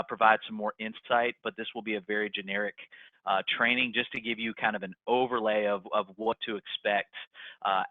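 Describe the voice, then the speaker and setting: A man's voice: on the low side, no echo. A man giving an informal business training talk as a marketing manager, explaining in a folksy way and stressing key points. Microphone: conference call line. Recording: dull, lo-fi conference call audio.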